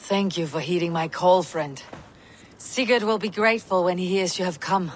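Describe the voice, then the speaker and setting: Woman playing a steadfast Viking warrior woman, husky. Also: on the low side, slight background noise, lightly acted. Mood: warm, rallying. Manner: measured, declarative, wry. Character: battle-hardened adult female Viking warrior, steadfast and dry. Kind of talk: dramatic video game acting.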